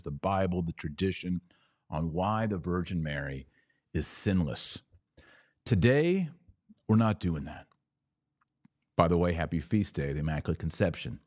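A man's voice: deep voice, darkish tone, no echo, clean studio sound. A man hosting a religious podcast, explaining in an emphatic monologue with rhetorical questions and repeated key words. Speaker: podcast host.

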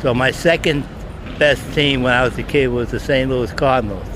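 Older male interviewee, American accent, medium pitch, casual reminiscence, faint ambient noise. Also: normal speed. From United States. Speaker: interviewee sharing a memory.

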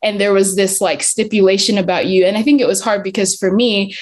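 A woman's voice: medium pitch, steady tone, brisk. A young woman with an American accent who grew up in England, an earnest podcast guest who talks reflectively and says "like" and "um" a lot. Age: young adult. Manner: earnest, heavy use of "like" and "um". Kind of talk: reflective interview talk.

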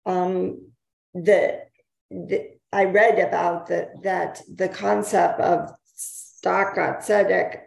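A woman speaks slowly and hesitantly in a casual group discussion. Her voice is low and gravelly. The room around her echoes. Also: a little mellow. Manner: hesitant, with many fillers and pauses. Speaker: study group participant.

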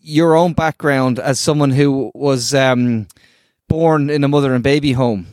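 A man's voice: mid-range voice, a little slow, a little mellow, smooth, dry recording. Irish host guy, blunt and casual, stammers a bit, dry close mic. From Ireland. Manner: blunt, informal, stammering repeats. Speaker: podcast co-host. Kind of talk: casual interview chat.

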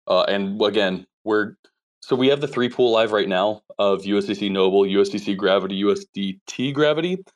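An upbeat man hosting a casual online chat, his voice sitting a little low. He talks readily through business points and laughs easily along the way. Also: fairly quick. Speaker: host.

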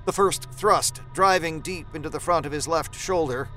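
A man reads scripted horror fiction as the narrator. His voice sits a little higher than most men's, and music plays clearly behind it. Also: normal speed, pushed and bright.

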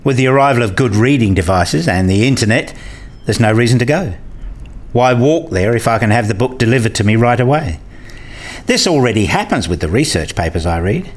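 A man's voice: medium pitch, lively intonation, brisk, lightly acted. A man acting in a scripted radio play as an eager, opinionated character who explains and argues his ideas. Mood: enthusiastic, confident.

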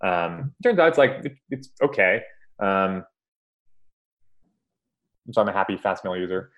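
A man's voice: low-pitched, expressive, unhurried, highs cut off. A man from Hawaii, a podcast guest chatting casually and hesitantly, with lots of ums and repeated words. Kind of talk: casual interview chat.

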